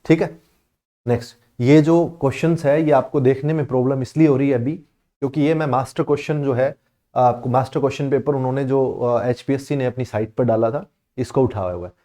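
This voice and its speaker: Man teaching with a mellow voice. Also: medium pitch. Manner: reads English question text slowly, explains in Hindi with frequent "theek hai na". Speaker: exam coaching teacher. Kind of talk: explaining exam questions.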